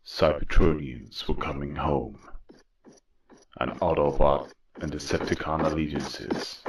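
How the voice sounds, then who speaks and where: A man's voice: extremely low pitch, heavily acted, rough recording. A man playing a weary robot war leader, narrating an audio drama in a grave, solemn manner. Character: weary male robot war leader recounting his downfall.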